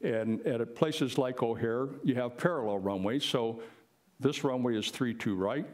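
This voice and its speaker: Older guy presenting, plain-spoken, walking people through stuff step by step, medium pitch. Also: unhurried, darkish tone. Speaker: presenter, retired air traffic controller. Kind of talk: informal explanatory presentation to a live audience.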